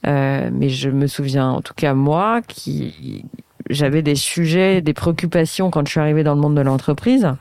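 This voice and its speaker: A woman's voice: low-pitched, fairly even intonation, normal speed, vocal fry, a little mellow, dry recording, clean studio sound. A woman in her thirties interviewing a guest, talking thoughtfully and searching for her words. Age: 30s. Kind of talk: conversational interview.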